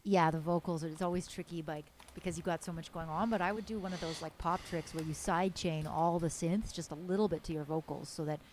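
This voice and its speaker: A young woman with a low voice, casually giving detailed, enthusiastic music mixing feedback on a live stream. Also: fairly even intonation, brisk, hardly any pauses, a little mellow, a little hiss or hum. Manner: enthusiastic, detailed mixing tips with many fillers. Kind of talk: casual technical feedback chat.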